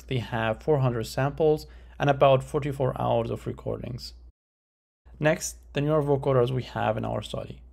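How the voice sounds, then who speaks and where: Male research presenter, very creaky gravelly voice, methodical scripted explanatory delivery, mid pitch. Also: darkish tone.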